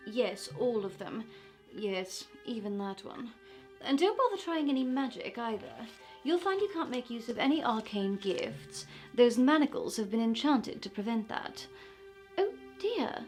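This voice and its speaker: A woman playing a mockingly polite smuggler boss villainess who taunts a captive with deliberate, condescending menace in a fantasy roleplay. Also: a little high, a little mellow. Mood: smug, controlled, then taunting. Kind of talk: point-of-view fantasy roleplay.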